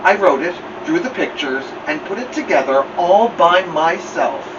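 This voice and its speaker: A man's voice: a little high, moderate pace, recorded from a distance, voice pushed up, lightly acted. An earnest young storybook boy, cheery and keen to please, voiced by a man reading aloud. He is heard on a dull recording with noticeable background noise. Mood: cheery, eager.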